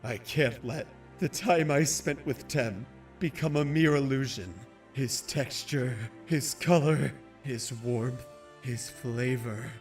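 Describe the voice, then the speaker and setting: A man's voice: medium pitch, distant mic, faint music behind. A man voicing a brooding carnivore beast, solemn and wistful, in a dramatic anime fan-dub monologue. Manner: slow, solemn, deliberate delivery. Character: brooding male carnivore beast, obsessively wistful over a lost friend.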